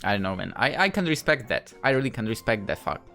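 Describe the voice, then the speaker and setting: A man's voice: fairly low pitch, sing-song, quick pace, creaky, pushed and bright, faint music behind. A man giving casual let's play commentary, rambling and thinking aloud. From Poland. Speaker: gaming YouTuber.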